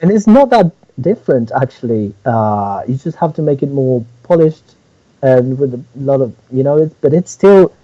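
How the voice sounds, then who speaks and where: A man from Spain chats as a musician guest, searching for words and restarting his sentences. His voice is mellow and dark, and it comes through a dull, muffled line. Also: medium pitch, lively intonation, moderate pace. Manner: hesitant, many fillers and restarts. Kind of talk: casual interview chat.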